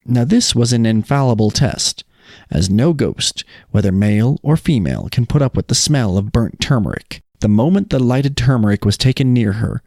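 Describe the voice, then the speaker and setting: A man's voice: medium pitch, animated, moderate pace, gravelly, darkish tone, dry recording, clean studio sound. A man co-hosting a folk tale podcast, talking and telling stories. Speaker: podcast co-host. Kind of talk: casual chat and storybook reading of folk tales.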